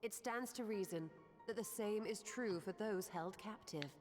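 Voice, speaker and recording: A grave, analytical female scholar from a fantasy game, played by a woman, reasons in deliberate, formal phrases. Her mid-pitched voice carries a long reverb over faint music. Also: a little mellow, close to an everyday voice. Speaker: video game voice actor.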